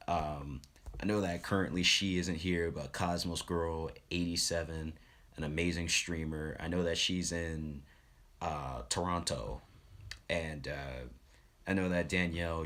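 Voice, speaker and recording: A man talking casually as a live streamer, giving shout-outs in a halting, rambling, earnest way. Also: extremely low pitch, fairly even intonation.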